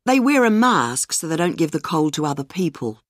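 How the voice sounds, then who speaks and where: Woman, low voice, lively intonation, conversational, dry studio. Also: moderate pace, no echo. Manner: conversational, anecdotal delivery. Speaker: speaker in course listening. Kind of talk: scripted monologue.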